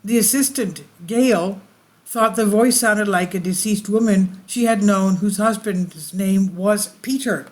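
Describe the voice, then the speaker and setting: A woman's voice: medium pitch, a little slow. A woman hosting her channel, reading an article aloud and often stumbling and correcting herself mid-sentence. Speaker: channel host.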